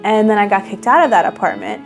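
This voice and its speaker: A woman's voice: mid-range voice, huge pitch swings, fast talker. A young woman in an interview, earnestly telling her story in a chatty way with lots of "like" fillers. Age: young adult. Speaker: fashion designer and boutique owner. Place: indoor room, seated across from interviewer. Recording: soft music underneath.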